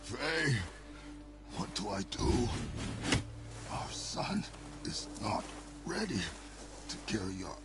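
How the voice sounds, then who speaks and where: A man playing a stern, weary, grieving middle-aged warrior father in a video game, gravelly, terse and solemn in his delivery. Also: mid-range voice, sing-song, slow, pauses often, over loud music. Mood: grieving, brooding. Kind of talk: dramatic video game cutscene acting.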